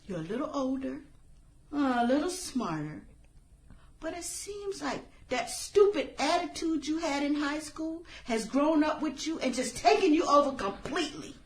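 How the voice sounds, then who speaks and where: Radio drama role for an actress: bitter, sarcastic female killer, higher-pitched, theatrical, wide swings of tone. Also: lively intonation, a little hiss or hum, rough recording. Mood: scornful. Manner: sarcastic, theatrical, drawn-out phrasing with breathy whispers. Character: bitter, taunting adult woman, vengeful former high school outcast turned killer.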